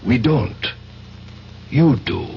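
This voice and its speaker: An actor in a 1970s radio drama playing a cold, commanding spymaster who speaks formally and deliberately. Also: male voice, mid-range voice, lively intonation, gravelly, exaggerated voice. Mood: cold, controlled. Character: cold, commanding male spymaster plotting in secret. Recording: muffled, noisy old radio broadcast.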